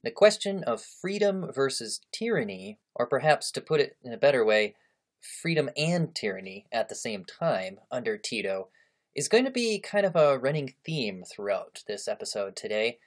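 Man, podcast host, mid pitch, steady clear delivery, interviewer. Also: moderate pace.

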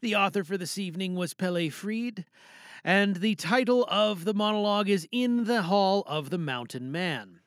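A man's voice: high-pitched, a bit bright, dry recording, clean studio sound. A man casually hosting a podcast with playful showman patter, often thinking aloud. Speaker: host and monologue performer.

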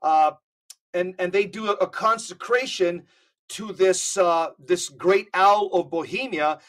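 A man with a high voice speaks as a guest on a casual podcast. He tells his stories in an emphatic, animated way. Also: a little slow.

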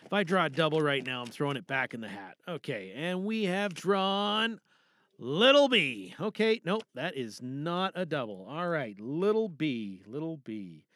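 Bright, animated male podcast host, high-pitched. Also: a little slow. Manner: showy announcer-like greeting, talks to himself. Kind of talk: enthusiastic podcast introduction, casual and rambling. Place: recording booth.

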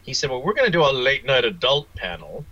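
Guy guest on an interview, really bright crisp-edged voice, kinda rambly, slightly muffled lo-fi sound. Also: medium pitch, very animated, quick pace. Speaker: interview guest, author and audio drama producer. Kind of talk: casual interview answers.

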